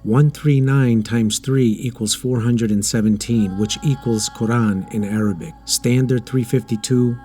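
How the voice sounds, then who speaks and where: A man delivering scripted educational narration in a deliberate, emphatic, explanatory manner. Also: medium pitch, fairly even intonation, dark tone. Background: background music.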